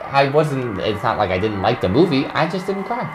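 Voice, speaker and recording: A man's voice: medium pitch, sing-song, normal speed, over music, rough recording. A man in his forties hosting a podcast, chatting casually and rambling, often breaking off mid-sentence. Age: 40s. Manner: rambling, self-interrupting, laughs at himself. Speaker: podcast host. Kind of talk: casual chat.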